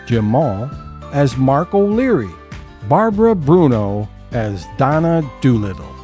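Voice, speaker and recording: A man's voice: mid-range voice, sing-song, a little slow, dark tone, soft background music. A man hosting an audio theater program, reading scripted credits as an announcer. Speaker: host and announcer.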